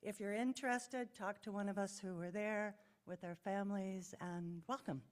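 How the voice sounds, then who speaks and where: A woman's voice: mid-range voice, fairly even intonation, moderate pace. An older woman with an American accent giving an informal talk to a club meeting as a committee member, in a projected voice. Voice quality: loud, projected voice.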